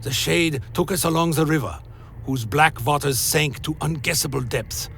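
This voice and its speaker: An actor playing a weary undead vampire wanderer, telling his journey in solemn, literary phrasing for a dark fantasy radio play. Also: fairly high pitch, normal speed, creaky, slight background noise. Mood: solemn, brooding. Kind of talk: dramatic first-person scripted narration, dark fantasy radio play. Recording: music behind the voice.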